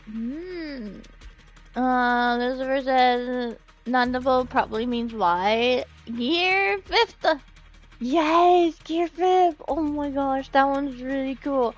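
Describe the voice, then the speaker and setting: A woman chatting casually on a game live stream, with a giggly, excitable manner. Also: sits high, takes it slowly, soft background music. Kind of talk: casual live-stream chat, reading out comments.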